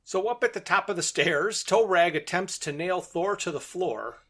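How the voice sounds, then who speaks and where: Bright-voiced man recapping a story. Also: sits high, normal speed. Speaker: podcast co-host. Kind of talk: casual discussion, recapping a story.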